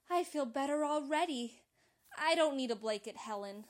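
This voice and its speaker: Actress as a slow, high-voiced troubled young operator. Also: female voice, lively intonation. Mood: evasive. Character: troubled, reticent young telephone operator, polite and evasive. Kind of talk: old-time radio drama acting.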